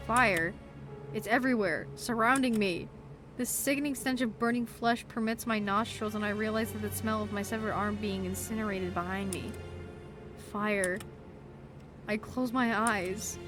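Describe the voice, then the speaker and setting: A woman's voice: steady tone, normal speed, music in the background. A young woman playing a game on a desk microphone, reading the game text aloud and screaming and swearing in shock. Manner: reads game narration in a lower, quieter tone. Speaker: let's play gamer. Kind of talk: game playthrough commentary with read-aloud game text. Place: home gaming desk.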